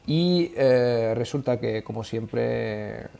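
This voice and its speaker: A middle-aged man with an Italian accent answers interview questions with a gravelly edge to his voice. He talks in a relaxed, darker tone and keeps pausing on fillers as he gathers his thoughts. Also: medium pitch. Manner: hesitant, many fillers and restarts. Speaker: guest, language school founder. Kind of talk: casual interview conversation.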